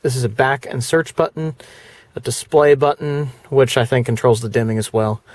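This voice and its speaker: A man's voice: mid-range voice, normal speed, a little mellow, no room sound. A man in a casual vlog, talking through his car stereo with loose, rambling speech full of fillers and restarts. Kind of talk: casual product walkthrough vlog. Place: inside a parked car; indoors before going to the car.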